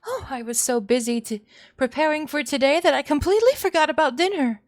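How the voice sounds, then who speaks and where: A woman's voice: sits high, fairly quick, dry recording, voice pushed up, natural voice. A woman voicing a maniacal, doting mother in a visual novel, theatrical, sweetly coaxing and threatening. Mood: giddy, sweet. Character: maniacal doting mother, cloyingly cheerful and menacing.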